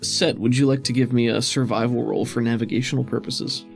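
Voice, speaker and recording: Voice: medium pitch, fairly even intonation, a little fast, vocal fry, a little mellow, faint music behind. A game master narrating a tabletop role-playing game in a casual way and bantering with the players.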